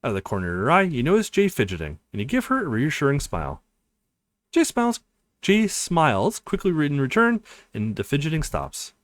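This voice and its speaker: Guy doing a visual novel let's play, reads it all out dramatically, super animated pitch, dry close sound. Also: a little fast, dry recording. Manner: theatrical, voices the dialogue. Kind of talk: expressive read-aloud narration of a visual novel.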